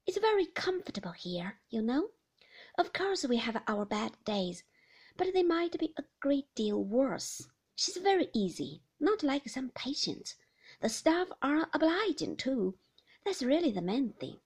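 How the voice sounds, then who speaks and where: A woman voicing a bright, cheerful middle-aged nurse who talks down to her elderly patient. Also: high voice, big pitch swings, measured pace, full character voice. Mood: chirpy. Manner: cheerful, coaxing, talking down to a patient.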